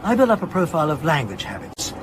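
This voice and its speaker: A man's voice: fairly high pitch, quick pace, background noise. An actor playing a male academic curator in a radio drama, explaining his field with pedantic, self-amused eagerness. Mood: enthused, explanatory. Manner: explanatory, donnish, animated. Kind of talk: naturalistic radio drama acting.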